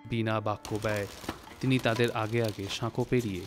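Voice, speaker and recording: A man reading a literary story as narrator, in a measured, phrase-by-phrase storytelling manner. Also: fairly low pitch, steady tone. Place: recording studio. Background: music.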